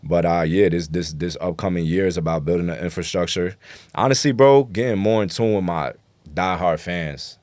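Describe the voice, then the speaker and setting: A man's voice: sits low, moderate pace, darkish tone, no room sound. A man talking casually as a rapper guest in an interview, slangy, profane and self-assured. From New York City, United States.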